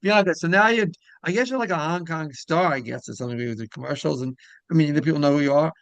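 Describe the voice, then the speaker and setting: Gravelly man hosting a casual interview. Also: fairly high pitch, fast talker, no room sound. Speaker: interview show host.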